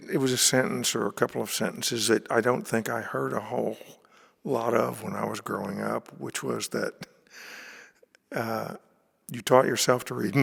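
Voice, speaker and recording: A man's voice: mid-range voice, vocal fry, breathy edge, big-room sound. A man hosting a casual interview, asking curious, rambling questions. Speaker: interviewer, show host.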